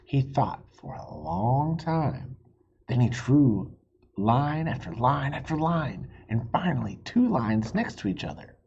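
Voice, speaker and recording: A storybook reader giving an expressive read-aloud for children, explaining things simply. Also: medium pitch, takes it slowly, husky, highs cut off.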